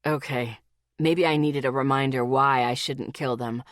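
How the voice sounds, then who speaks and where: A woman putting on a husky grumbling young man. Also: steady tone, moderate pace, no room sound, studio quality. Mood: teasing. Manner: grumbling, low and teasing. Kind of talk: audiobook character voice.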